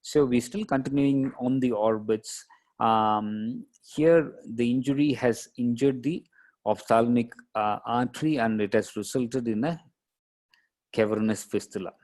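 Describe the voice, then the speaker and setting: A man lecturing as a radiologist, explaining case images step by step in a halting, explanatory way. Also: mid-range voice, darkish tone.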